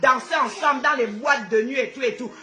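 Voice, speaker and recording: A woman's voice: sits high, fairly quick, a bit bright, slight background noise. A woman with a Gabonese accent, a native Fang speaker, ranting live to her followers in an outspoken, combative, repetitive way. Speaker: social media host.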